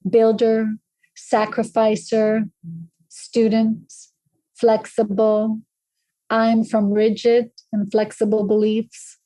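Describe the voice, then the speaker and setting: A woman in her fifties from southern Africa, reading her own poem aloud in a measured, even recitation as a podcast guest. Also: fairly high pitch, no room sound, rough recording. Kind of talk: slow poetry reading.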